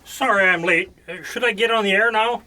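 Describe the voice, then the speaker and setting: A flippant radio presenter in an audio play. His voice is fairly high and sharp-edged, with a touchy, dismissive temper. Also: brisk, poor audio. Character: flippant, touchy male radio presenter, always running late. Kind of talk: audio theater acting.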